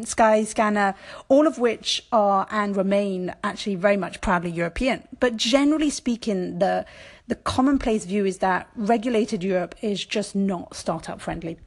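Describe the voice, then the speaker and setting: Brief: woman podcast host, solo monologue, conversational and thoughtful, lots of ums, corrects herself mid-sentence. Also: mid-range voice. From born in France, raised in Brussels.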